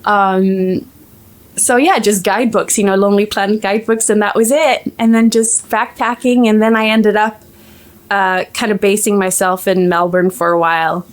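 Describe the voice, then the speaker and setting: A Swedish-accented woman in her forties talks as an interview guest, pitched in the middle of the female range. Her talk is lively and chatty, with easy laughter. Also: normal speed. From Sweden. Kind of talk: casual interview chat.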